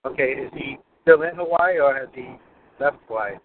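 A man's voice: medium pitch, moderate pace. Man calling in from his car, rambles and restarts, thin phone line, faint hiss. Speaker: caller. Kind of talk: call-in question. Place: car, driving to work.

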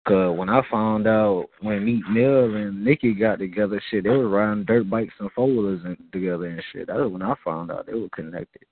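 A man from Miami telling a rambling, profane story in casual chat, with a halting manner. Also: on the low side. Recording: phone-like band-limited audio.

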